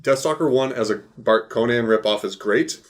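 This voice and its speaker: A man with a medium-pitched voice co-hosts a podcast. He talks informally and states his opinions plainly, in the loose style of a casual film discussion. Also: normal speed. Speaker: podcast co-host.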